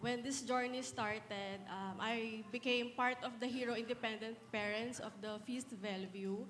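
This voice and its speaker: Soft-spoken woman in her thirties. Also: fairly high pitch, fairly even intonation, normal speed. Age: 30s. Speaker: guest speaker, solo parent. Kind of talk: personal faith testimony. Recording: long hall reverb, faint noise.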